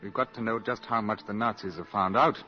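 An actor playing a shrewd British intelligence agent in a 1950s radio drama, dry and in command. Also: male voice, fairly low pitch, steady tone, fairly quick, soft background music, close to an everyday voice. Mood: decisive. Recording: dull old 1950s radio recording.

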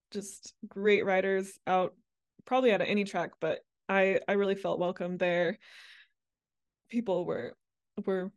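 A woman's voice: medium pitch, darkish tone, clear, resonant tone, dry recording. Playful young woman, dry close sound. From Netherlands, raised in Mississippi. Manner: light, playful, chatty with frequent fillers and laughs. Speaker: interview guest, motorcycle rider. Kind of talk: casual interview chat.

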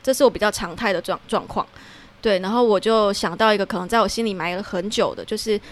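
Female podcast guest, Taiwanese Mandarin accent, rapid conversational delivery, reflective and frank. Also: mid-range voice. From Tainan, Taiwan. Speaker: podcast guest, theatre performer-writer. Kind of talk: casual reflective interview talk.

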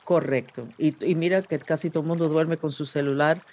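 A woman's voice: sits low, steady tone, fairly quick, a little mellow. Podcast host woman. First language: English. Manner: rambling, meandering with restarts and fillers. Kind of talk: casual podcast conversation.

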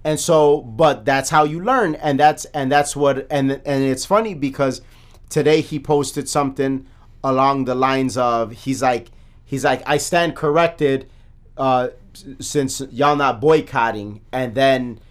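A man co-hosting a podcast, talking in casual chat, animated and swearing, full of restarts. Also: mid-range voice, clean tone. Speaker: podcast co-host.

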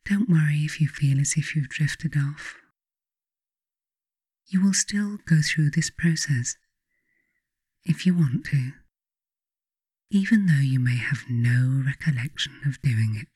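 A woman reading her own reflective self-help writing as scripted narration, in measured, deliberate phrases with frequent pauses. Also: low-pitched, expressive, darkish tone, husky, no echo, clean studio sound. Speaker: author reading own book, guided meditation narrator. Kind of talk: scripted audiobook narration, reflective guided meditation.

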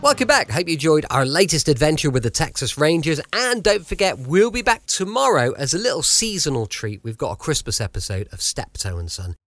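A man's voice: fairly high pitch, big pitch swings, brisk, hardly any pauses, fairly bright tone. A man with an English accent giving a podcast host's scripted sign-off. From England. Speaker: podcast host.

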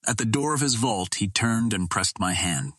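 A man reading an old adventure novel as an audiobook narrator, in a measured, dramatic storytelling manner. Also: lowish voice, expressive, a bit bright, no echo, clean studio sound.

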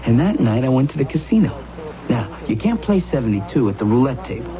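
A dull, dated radio broadcast with bustle behind it carries an agitated American gambler a man acting. He is a superstitious husband, insistent and repetitive, and his pitch swings widely as he pushes his certainty. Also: very animated, fast, crowd noise, close to an everyday voice. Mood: earnest, insistent. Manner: insistent, agitated, repeating himself. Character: excitable gambling husband, superstitious, obsessed with dream numbers.

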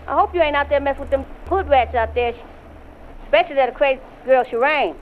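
A woman acts as an exasperated mother leaving a voicemail on a rap album skit. Her high voice nags and scolds her teenage son impatiently. It comes through a dull, lo-fi phone filter with noise behind it. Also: a little fast. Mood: impatient scolding. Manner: scolding, colloquial, nagging.

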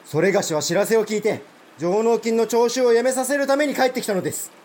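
A man's voice: very high voice, quick pace, darkish tone. A man playing a proud, blunt provincial samurai lord in a Japanese historical audio drama, speaking formal warrior speech. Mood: indignant.

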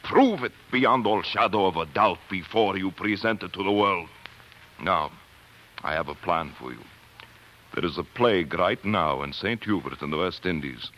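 An actor playing a grave, fatherly older scientist in 1940s radio drama, speaking deliberately and emphatically. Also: deep voice, expressive, fairly bright tone, poor audio. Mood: grave, advising.

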